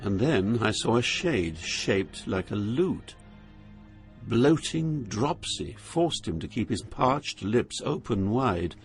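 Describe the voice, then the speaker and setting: A man giving a scripted dramatic narration as storyteller, solemn and theatrical, with measured phrasing. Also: on the low side, very animated, soft background music.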